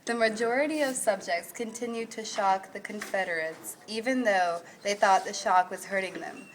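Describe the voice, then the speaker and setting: A woman's voice: medium pitch, normal speed, rough recording. A woman reading scripted narration for an amateur puppet show. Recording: clear background noise.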